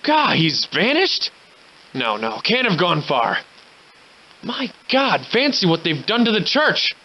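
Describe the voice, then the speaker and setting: Theatrical, surly old-time drunken scoundrel. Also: male voice, high-pitched, wildly swooping pitch, slow pace, muffled recording, full character voice. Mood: alarmed. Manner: florid, old-fashioned, theatrical diction. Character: surly hard-drinking old-time scoundrel, grandiloquent survivor. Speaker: video game protagonist voice actor. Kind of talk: theatrical video game character dialogue.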